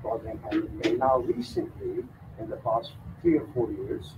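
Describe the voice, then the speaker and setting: An older man hosting, introducing a guest speaker in a halting way with many fillers. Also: mid-range voice, wildly swooping pitch, background noise. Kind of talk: spoken introduction. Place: mosque lecture room. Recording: tinny lo-fi sound.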